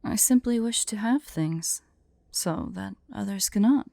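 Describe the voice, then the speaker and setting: A woman playing a haughty, prickly but secretly fond female dragon in an ASMR roleplay, imperious and deliberate. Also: mid-range voice, creaky, mellow, dry recording. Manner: imperious, indignant, slow and deliberate.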